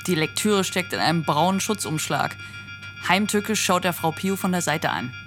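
A woman's voice: lowish voice, lively intonation, normal speed, over music, natural voice. A woman narrating a poetic radio play as a scripted storyteller.

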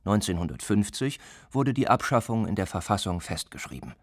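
A man reading a scripted report as a reporter, even and measured. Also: fairly low pitch, dry recording, studio quality. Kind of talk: scripted narration.

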